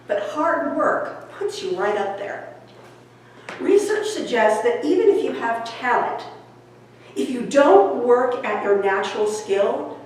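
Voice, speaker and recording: A woman reading her own nonfiction book aloud in a measured, explanatory way with deliberate phrasing. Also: on the high side. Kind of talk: scripted audiobook-style reading of nonfiction. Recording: very echoey room, distant mic, background noise.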